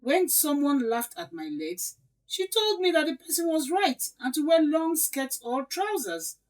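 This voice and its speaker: Actress doing bossy blunt nigerian stepmom, indignant lecturing, high lively voice, bit off mic, audio drama. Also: female voice, measured pace, distant mic. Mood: indignant, self-justifying. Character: blunt, self-justifying middle-aged Nigerian stepmother.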